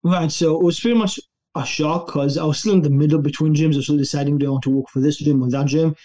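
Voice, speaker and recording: A young adult man from Israel tells his story with an easygoing, relaxed manner. His voice sits a little high and sounds soft and mellow. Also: fast talker. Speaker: guest, online fitness coach. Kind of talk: casual interview storytelling.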